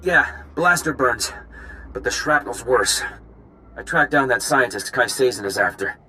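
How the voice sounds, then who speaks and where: A man playing a brisk, earnest spy operative in a video game, with clipped, informal phrasing. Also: mid-range voice, lively intonation, bright, forceful tone, slight background noise. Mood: strained, urgent.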